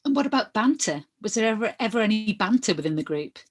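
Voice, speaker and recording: A woman reading the part of an inquiry lawyer, putting formal questions in a measured, methodical way. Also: medium pitch, animated, lightly acted. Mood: measured, probing. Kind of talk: verbatim reading of hearing questions.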